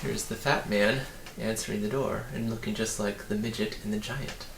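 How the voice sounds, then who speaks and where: A man reading a children's story aloud as an animated narrator. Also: on the low side, normal speed, vocal fry. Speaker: audiobook reader. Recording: roomy, distant mic, faint noise.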